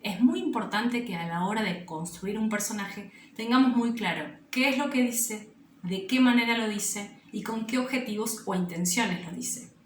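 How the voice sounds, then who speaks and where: A woman's voice: on the high side, normal speed. A woman giving an instructional talk as a drama teacher. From Argentina. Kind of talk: instructional presentation. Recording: echoey room, distant mic, faint noise.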